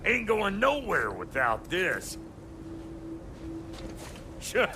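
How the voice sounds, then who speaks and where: An actor playing a gruff, cocky dwarf in a video game, brash and slangy with a gravelly grumble. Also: male voice, high voice, huge pitch swings, extremely slow, noisy background, heavily acted. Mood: cocky, impatient. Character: gruff, foul-mouthed, cocky dwarf tradesman. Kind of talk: comic video game acting.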